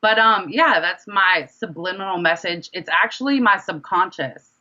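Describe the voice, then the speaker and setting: A bright, mid-pitched voice with a slightly dull recording. The speaker is an independent rapper answering as an interview guest, loose and chatty, often doubling back on a phrase to stress it. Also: normal speed. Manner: chatty, informal, often repeats phrases for emphasis. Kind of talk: casual conversational interview.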